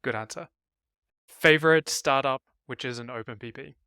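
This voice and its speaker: A male host with a bright, clear voice and a touch of gravel runs through quick questions. He stays upbeat and brisk, and the sound is close and dry. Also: mid-range voice, no room sound. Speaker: interview host. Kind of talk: quick rapid-fire interview questions.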